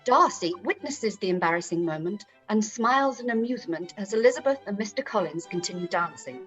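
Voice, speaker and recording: A woman's voice: mid-range voice, expressive, a little fast, a bit bright, faint music behind, low-quality recording. A woman reading scripted scene narration for a period radio play. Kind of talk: scripted stage-direction narration.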